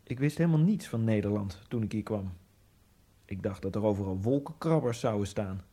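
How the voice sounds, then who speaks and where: A man's voice: on the low side, expressive, brisk, mellow, husky. An actor playing an Egyptian immigrant, telling his story in a dreamy, brooding radio drama monologue over music. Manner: brooding, dreamy inner monologue with sudden bursts.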